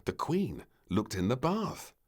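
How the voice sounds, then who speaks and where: A man narrating a children's storybook in an expressive, theatrical and measured way. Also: mid-range voice, breathy edge.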